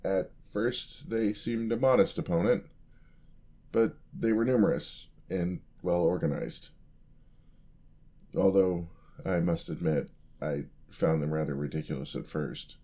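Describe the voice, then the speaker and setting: A man's voice: low-pitched, unhurried, warm tone, slightly rough, exaggerated voice. A man playing a clumsy, earnest young paladin apprentice in a fantasy ASMR roleplay, with a hesitant, bashful, polite stammer. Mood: sheepish, confessing.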